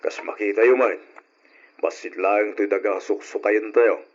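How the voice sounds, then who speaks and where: A man's voice: fairly low pitch, fairly even intonation, measured pace, a little hiss or hum, lightly acted. An actor playing an ailing, stern but loving old rural father in an Ilocano radio drama, weary and admonishing. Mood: earnest, admonishing. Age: older. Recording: dull lo-fi old radio recording.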